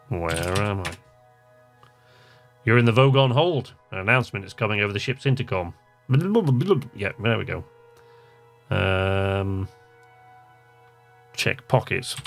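A man's voice: lowish voice, fairly bright tone. A man casually commentating on a game he plays, chatting and muttering to himself and reading on-screen text aloud. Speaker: let's play host.

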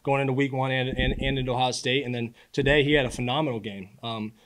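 A man in his early twenties, a college quarterback, answering press questions in a casual, earnest way with halting repeated words. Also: medium pitch, fairly even intonation. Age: early 20s. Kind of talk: postgame press conference answers. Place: indoor press room at a podium. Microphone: podium microphone.

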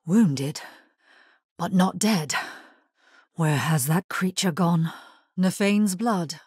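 A woman playing a grave, resolute necromancer hero in a video game, husky-voiced, speaking in a measured, solemn and terse way. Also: lowish voice, darkish tone, no echo, clean studio sound, full character voice. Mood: firm, guarded. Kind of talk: scripted video game character lines.

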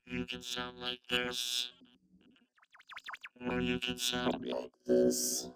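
A man's voice: medium pitch, sing-song, full character voice. A man speaking as a robotic synthesizer voice, droning through a vocoder. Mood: droning demonstration. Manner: slow, droning, repetitive. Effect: vocoder through synthesizer waves. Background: synthesizer tones.

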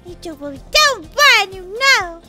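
A tiny stubborn toddler girl, extremely high and piercingly bright. She speaks slowly in babyish, simple phrases, like a cartoon little sister in a kids' toy story. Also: sing-song, faint music behind, full character voice. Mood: stubborn, whiny. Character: stubborn two-year-old little sister girl, turned creepy animatronic.

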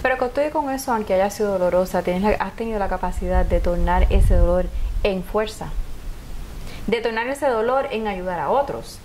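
A young adult woman hosting a TV interview, asking lively, probing questions and jumping in with follow-ups. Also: mid-range voice, fairly quick, slight background noise, low-quality recording. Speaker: TV show host. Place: TV studio set.

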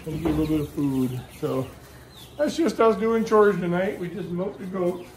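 A man's voice: high-pitched, lively intonation, moderate pace, darkish tone. A middle-aged American man casually narrates farm chores in a folksy, chatty, unscripted way. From Michigan, United States. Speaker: farm vlogger. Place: open barn and farmyard. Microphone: handheld phone camera. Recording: noisy handheld farm recording with poultry. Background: clucking chickens, birds, outdoor ambience.

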